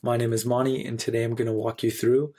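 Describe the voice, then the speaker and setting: A man in his thirties narrating a screencast tutorial in an instructional, clearly phrased way. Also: mid-range voice, level tone, quick pace, a little mellow. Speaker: software tutorial presenter.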